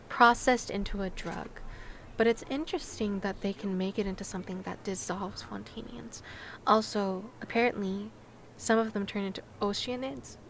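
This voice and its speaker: A woman's voice: medium pitch, noisy background. A woman livestreaming a video game, casually commenting, reading aloud and musing as she thinks things through. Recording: game music behind the voice. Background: video game music.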